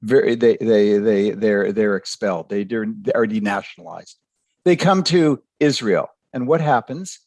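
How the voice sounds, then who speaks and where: An older man from Canada, a professor answering interview questions by telling stories, with halting, repeated words. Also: on the low side, lively intonation, darkish tone, no room sound, studio quality.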